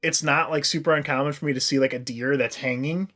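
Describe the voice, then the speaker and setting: Higher-voiced American man chatting casually. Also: fairly even intonation, quick pace, a bit bright. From American Midwest. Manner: crude, swearing, loose rambling storytelling. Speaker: podcast co-host. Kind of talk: casual comic chat.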